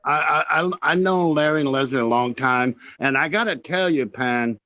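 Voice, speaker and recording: A man's voice: a little high, normal speed, bright, forceful tone, dry recording, lo-fi sound. A man chatting casually and boastfully on a video call as a musician guest, rambling as he goes. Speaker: guest, rock musician friend of the band. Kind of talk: casual chat.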